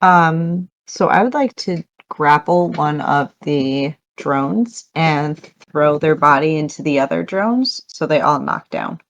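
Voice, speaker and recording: A woman playing a tabletop game, chatting casually and thinking aloud in a halting way. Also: sits low, no echo.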